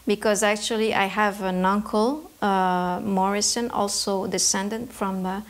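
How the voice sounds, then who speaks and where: A woman's voice: mid-range voice, fairly even intonation, measured pace. Thoughtful woman from Canada. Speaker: embroidery artist interviewee. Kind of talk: reflective interview answers.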